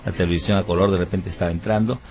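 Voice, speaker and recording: A low-voiced man with a Peruvian Spanish accent, speaking as a musician guest on a radio interview. He talks earnestly and at length, full of hesitations and restarts. Faint music plays behind him. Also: steady tone, very fast, darkish tone. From Peru. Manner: earnest, many fillers and restarts. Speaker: musician, band founder.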